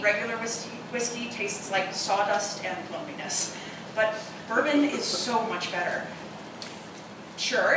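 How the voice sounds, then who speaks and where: The sound is heavily echoing and noisy, as in a live radio play recorded from a distance. An actress plays a witty, opinionated woman who savors her bourbon, speaking in a middle pitch. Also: lightly acted. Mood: wry, reminiscing. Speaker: stage actor. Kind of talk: live radio-play dialogue before a microphone. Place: live theatre performance space.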